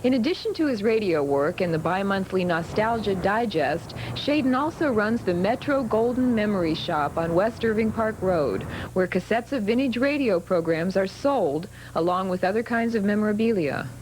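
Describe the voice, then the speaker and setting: A woman's voice: mid-range voice, animated, moderate pace, hardly any pauses, background noise. A woman with an American accent reading scripted narration as a television magazine reporter.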